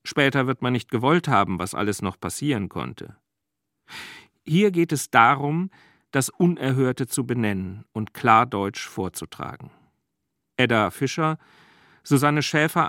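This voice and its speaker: A male presenter with a mid-pitched voice gives a prepared introduction. His delivery is formal and emphatic, and the sound is dry and close. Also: a bit bright, no echo. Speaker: presenter introducing a stage reading. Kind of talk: prepared spoken introduction with quotation.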